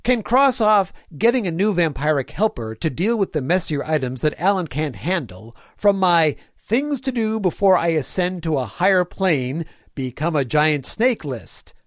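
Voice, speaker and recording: A man performs a small-town mayor who is cheerfully evil and fussy about cleanliness. His voice sits a little high and swings expressively through clipped, folksy diary entries in a comic fan-fiction reading. The sound is dry and close. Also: big pitch swings, normal speed, hardly any pauses, no echo. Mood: fussy, mildly peeved. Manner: folksy, prim, clipped list-like diary delivery. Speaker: fan audio drama voice actor.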